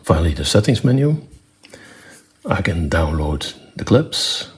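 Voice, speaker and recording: A man presenting an unscripted technical demonstration in a matter-of-fact, step-by-step way, with halting phrasing. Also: sits low, big pitch swings. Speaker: presenter demonstrating own electronics project.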